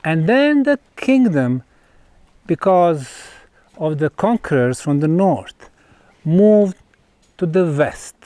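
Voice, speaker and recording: A man with a Bosnian accent presenting an on-site documentary in deliberate, emphatic phrases broken by pauses. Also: a little high, very animated, dark tone, dry recording. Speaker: presenter, researcher. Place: outdoors at stone ruins.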